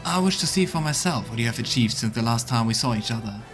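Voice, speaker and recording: A solemn gold dragon in a healer's guise , acted out by a man speaks in formal, measured phrases. The voice is middle-pitched and belongs to a fantasy game, with soft music underneath. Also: animated, lightly acted. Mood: grave, earnest. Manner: formal, measured storybook speech.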